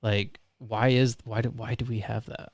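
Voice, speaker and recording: A man's voice: mid-range voice, level tone, moderate pace, no room sound. A man hosting an interview, asking curious questions with short agreeing replies. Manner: curious questions, short backchannels, laughs easily. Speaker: podcast host. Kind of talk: casual interview.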